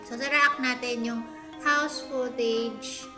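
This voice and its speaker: A woman's voice: a little high, fairly even intonation, slow. A woman with a Filipino accent hosting a reaction video in casual, chatty vlog style. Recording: echoey room, music behind.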